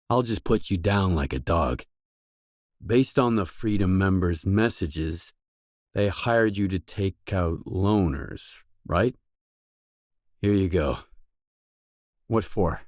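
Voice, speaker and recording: Low, terse tough mercenary. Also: male voice, no echo, clean studio sound, full character voice. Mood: curt, menacing. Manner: terse, blunt, deadpan. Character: weary, tough young male stalker mercenary, blunt and guarded. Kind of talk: video game voice acting, isolated dialogue lines.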